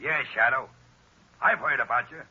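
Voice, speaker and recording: Man playing a gruff, raspy gangster boss. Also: mid-range voice, normal speed, lightly acted. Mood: bossy. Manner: gruff, bossy, tough-guy talk. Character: tough hard-bitten gangster on the run. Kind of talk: 1930s radio drama acting. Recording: muffled old radio recording.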